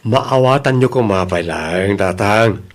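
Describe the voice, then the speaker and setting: A man playing a patient, considerate husband and dutiful son in an audio drama, calm and reassuring. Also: fairly low pitch, takes it slowly. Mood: apologetic.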